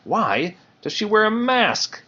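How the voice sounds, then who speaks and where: A man's voice: high-pitched, big pitch swings, takes it slowly, pushed and bright, highs cut off, voice pushed up, heavily acted. A man voicing a polite, curious young male fawn in a children's storybook.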